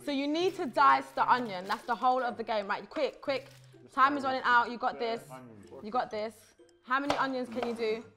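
A woman's voice: on the high side, a little fast. A woman hosting a comedic show, playfully bossing and hyping contestants. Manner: playful, bossy, hypes contestants. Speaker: host.

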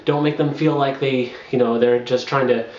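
A man's voice: medium pitch, steady tone, normal speed, a little mellow. Young man rambling advice, roomy lo-fi. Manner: rambling, frequent fillers and restarts, laughs between points. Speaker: travel blogger. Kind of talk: casual advice vlog. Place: small bedroom with bare wall. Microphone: camera on a makeshift tripod. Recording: roomy, dull lo-fi camera audio.

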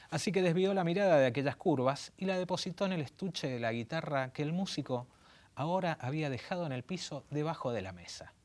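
A man in his forties with an Argentine Spanish accent, hosting and reading a story aloud in an intimate, theatrical way with slow, dramatic pauses. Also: a little high. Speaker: host and narrator. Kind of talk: late-night story reading. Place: small dim studio set.

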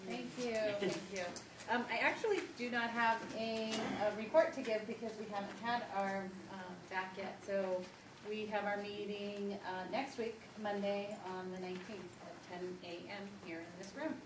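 Woman giving a quick polite update at a meeting, far off the mic, echoey hall, hum behind. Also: medium pitch. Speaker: committee member giving a report. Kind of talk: meeting report. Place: large conference room. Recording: distant mic, echoey room, background noise.

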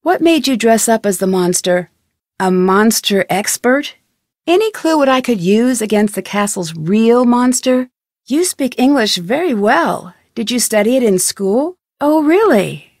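An actress playing a curious, polite young female detective in an adventure game, asking questions in a clear, inquisitive way. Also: fairly high pitch, expressive, measured pace, a little mellow, no echo, studio quality. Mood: friendly, curious. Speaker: video game protagonist. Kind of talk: scripted adventure game dialogue. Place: studio.

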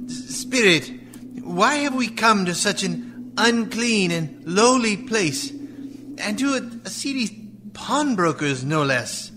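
An actor playing a frightened, humbled old miser who stammers and pleads in a radio play. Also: male voice, high voice, expressive, slow pace, pushed and bright, exaggerated voice. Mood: anxious pleading. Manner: stammering, hesitant. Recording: clear background noise.

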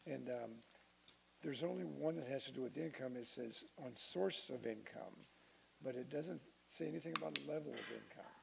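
A man speaking informally as a council member at a meeting, with a hesitant, halting delivery. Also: medium pitch, vocal fry, low-quality recording. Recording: hissy meeting-room audio.

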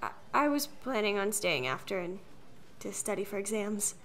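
A woman's voice: mid-range voice, very animated, normal speed, fairly bright tone. A woman playing an anxious, studious teenage girl in an audiobook. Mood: nervous, tired. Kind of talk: audiobook character acting.

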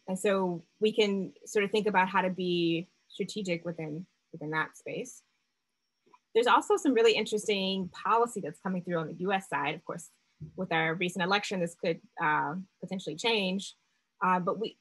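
A woman startup founder presenting a seminar talk over slides, measured and full of fillers and restarts. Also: on the low side, fairly bright tone, rough recording.

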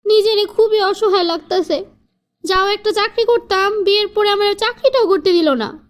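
A woman plays a young cartoon wife with a strikingly high-pitched voice. She sounds plaintive and earnest, frustrated with married life. Her complaints are emphatic, between pleading and defiance. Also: moderate pace. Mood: aggrieved, earnest. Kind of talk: cartoon family drama dialogue.

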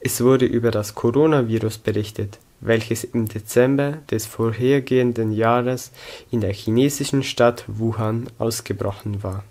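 A sixteen-year-old young man from Switzerland, reading a scripted story aloud in a careful, measured manner. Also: medium pitch, fairly even intonation, a little mellow. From Zug, Switzerland. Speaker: student reading own story. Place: small indoor room against a plain wall.

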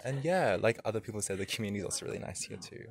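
A man's voice: fairly low pitch, lively intonation, very fast, vocal fry. A young man with an Australian accent, a university student answering questions in a library in an easygoing way. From Adelaide, Australia. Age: young adult. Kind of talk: interview answers. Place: indoors in a library.

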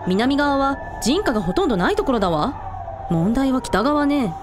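A take-charge shrine priestess, played by a woman in a radio drama. Her voice is very high, brisk and concerned, with music underneath. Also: very animated. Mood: alert. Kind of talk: amateur radio drama acting. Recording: music behind the voice.

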